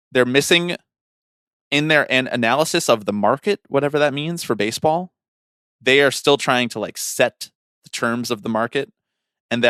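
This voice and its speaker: A man's voice: medium pitch, a bit bright, no echo, studio quality. A man in his twenties co-hosting a casual baseball podcast, laying out analysis in long, reasoned runs with repeated phrasing. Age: 20s. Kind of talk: casual sports talk, analysis.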